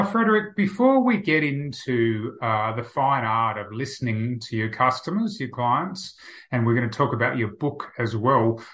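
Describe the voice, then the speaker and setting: A man hosts an interview podcast with a bright, clear voice. He keeps things upbeat and moving with brisk introductions and quick questions. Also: medium pitch. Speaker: podcast host. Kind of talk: interview hosting.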